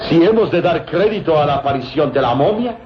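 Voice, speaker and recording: An actor playing a sly, courteous German villain in a radio serial, with a German accent and formal, theatrical delivery. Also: male voice, a little high, lively intonation, muffled recording, background noise, natural voice. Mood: persuasive. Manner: theatrical, formal, insistent, with dramatic pauses. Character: sly German villain, smoothly deceitful, courteous adult man. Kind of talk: vintage radio serial acting. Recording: band-limited radio recording, loud music behind.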